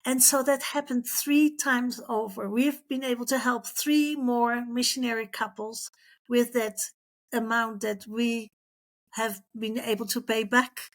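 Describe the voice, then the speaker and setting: Middle-aged female interview guest, fairly high voice, recounts personal anecdotes and voices the quoted conversations. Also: steady tone, unhurried. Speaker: interview guest, former missionary.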